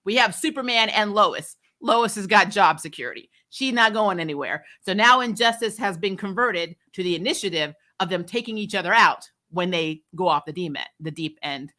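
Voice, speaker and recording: A middle-aged woman giving a casual, opinionated recap as a review host, exasperated and animated, often mimicking other people's lines. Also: medium pitch, moderate pace, hardly any pauses. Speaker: host of a fan TV review channel.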